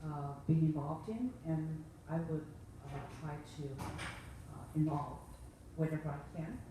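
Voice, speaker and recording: A woman's voice: low voice, unhurried. A woman introducing herself as a parent on a panel, earnest and gracious. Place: event hall. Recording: distant mic in an echoey hall, noisy.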